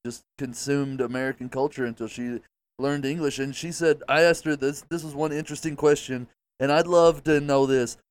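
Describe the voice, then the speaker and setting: Guy rambling on a podcast, smooth clear voice, swears a lot. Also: mid-range voice. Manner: rambling, animated, swears freely. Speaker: podcast co-host. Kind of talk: casual chat.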